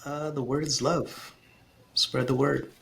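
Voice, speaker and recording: A man's voice: mid-range voice, steady tone, slow pace, gravelly, a bit bright, slight background noise. A man guest on a casual podcast, talking earnestly and expressively, often repeating a phrase while he gathers his thoughts. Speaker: guest, communication coach for parents.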